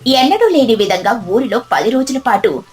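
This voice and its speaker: A woman's voice: on the high side, very animated, moderate pace. A woman narrating a scripted moral story as a storyteller. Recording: music behind the voice. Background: music.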